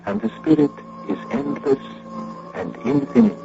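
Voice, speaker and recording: An actor playing a solemn foreign mystic doctor in an old radio drama, speaking slowly in grandiose, gravelly pronouncements. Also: male voice, on the high side, huge pitch swings, heavily acted. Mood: ominous. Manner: grandiose, slow, sermonizing pronouncements. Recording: muffled, noisy radio drama recording.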